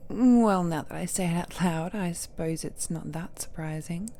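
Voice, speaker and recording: A woman playing an ancient godlike villainess in an intimate audio drama, seductive, possessive and falsely tender, with coy endearments and a breathy voice that often drops to a whisper. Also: lowish voice, warm tone, close to an everyday voice. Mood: teasing, amused. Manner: slow, coy, drawn-out endearments with teasing murmurs.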